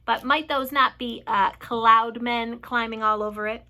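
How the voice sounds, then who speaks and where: A woman's voice: fairly high pitch, fairly even intonation, a little slow, bright, forceful tone. A woman voicing a cranky, sardonic male centipede from a children's storybook, with a brash, dismissive manner. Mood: nervous.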